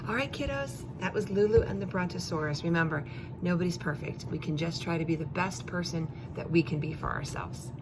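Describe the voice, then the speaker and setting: A woman teacher reading a children's story aloud, expressively, with drawn-out emphasis and questions and asides to her young pupils. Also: fairly low pitch, background noise. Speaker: elementary school teacher.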